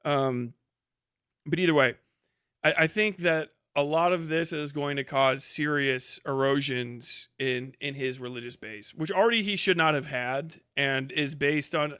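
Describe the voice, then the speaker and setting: A man's voice: fairly high pitch, measured pace, bright, forceful tone, smooth, dry recording, clean studio sound. A man doing casual, off-the-cuff live commentary on the news in a blunt, sardonic, rambling and argumentative way, with some swearing.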